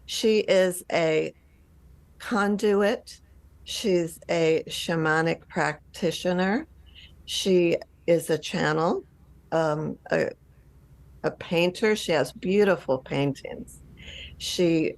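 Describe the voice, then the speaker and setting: Female host, lowish pitch, slow delivery with pauses between short phrases, effusive introductions, recorded over a video call. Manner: halting, pausing between short phrases, gushing. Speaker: interview host. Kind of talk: spoken guest introduction and questions.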